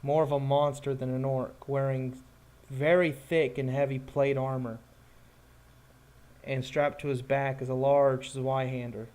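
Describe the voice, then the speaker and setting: Slow-paced man running a tabletop game. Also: medium pitch, level tone, darkish tone, slight background noise. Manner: halting, descriptive. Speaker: game master. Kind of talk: improvised narration of a tabletop roleplaying game.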